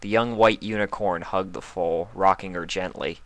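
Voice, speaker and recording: A man's voice: deep voice, fairly even intonation, moderate pace, dry recording. A man reading scripted story narration as the narrator of a fan fiction.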